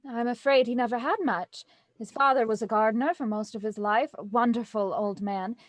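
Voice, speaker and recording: Woman playing a high-voiced, genteel young heiress. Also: fairly even intonation, a little fast, dry recording, lightly acted. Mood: polite, uneasy. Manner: polite, proper, easily flustered. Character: genteel, anxious young heiress in a period mystery. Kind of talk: audio drama acting, Victorian murder mystery radio program.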